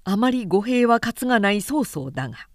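A woman narrator reading a literary work aloud as an expressive storyteller. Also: mid-range voice, moderate pace, dry recording, studio quality. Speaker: narrator, reader.

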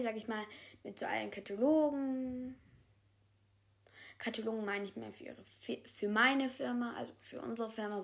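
A chatty office worker, played by a woman, leads a newcomer around in a slightly high voice. She rambles, stumbles over her words and corrects herself mid-sentence in an improvised role-play. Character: chatty female office worker giving a new secretary a tour.

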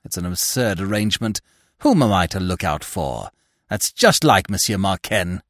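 A man voicing a bustling, irritable police inspector in an audiobook, grumbling and exclaiming briskly. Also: deep voice, sing-song, no echo, clean studio sound. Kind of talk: audiobook character voice.

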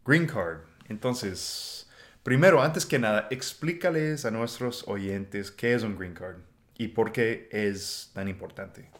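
A man with an American accent hosting a casual podcast in Spanish, relaxed and quick to laugh. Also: lowish voice. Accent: American English. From United States. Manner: relaxed, unhurried, laughs easily. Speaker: podcast host. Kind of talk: casual chat.

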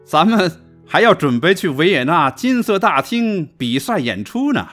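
A man's voice: high voice, sing-song, normal speed, a bit bright, exaggerated voice. A man playing a good-natured, grumbling middle-aged professor father in a radio drama, colloquial and exclamatory. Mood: eager. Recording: music under the voice.

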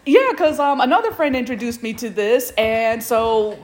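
A woman with a slightly high voice chats as a podcast co-host. She speaks informally and reacts conversationally, as if talking things over with a friend. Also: animated, normal speed. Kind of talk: casual chat.

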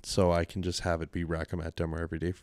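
A man's voice: sits low, monotone, very fast, darkish tone, dry recording, studio quality. A man with a Canadian accent hosting his own solo podcast, rambling and self-deprecating in casual chat, with frequent swearing. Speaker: podcast host. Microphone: studio mic with mixer.

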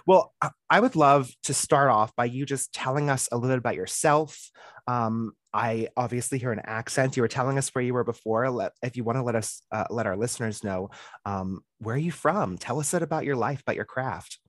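A man's voice: medium pitch, fairly quick, no room sound, clean studio sound. Friendly male podcast host. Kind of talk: podcast intro and casual interview.

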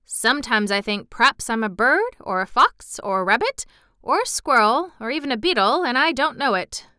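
A woman voicing a friendly, easygoing country boy in broad, unhurried Yorkshire dialect for a storybook. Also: on the high side, very animated, bright, forceful tone, clear, resonant tone, no room sound, clean studio sound. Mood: musing, good-humored.

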